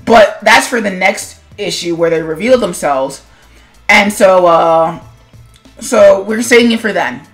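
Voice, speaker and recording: A woman speaks like a video host chatting through her own animation work. Her delivery is conversational and rambling, with a self-deprecating streak. Her pitch sits in the ordinary middle range for a woman, and music plays under the voice. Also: measured pace. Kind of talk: casual commentary vlog on own animation.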